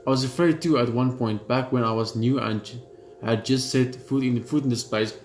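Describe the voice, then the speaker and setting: A man gaming, reading a horror visual novel's narration aloud in a steady read, dropping blunt side remarks. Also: mid-range voice, moderate pace, echoey room, slight background noise. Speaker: gamer.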